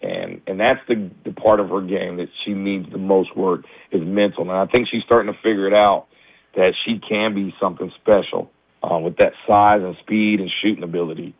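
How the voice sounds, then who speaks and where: A man, a high school basketball coach, talking candidly in a radio interview with lots of restarts; his voice is a little raspy as he recovers from the flu. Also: steady tone, moderate pace, no room sound. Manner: candid, many restarts and fillers. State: recovering from flu, low energy. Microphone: phone line. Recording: tinny dry phone line.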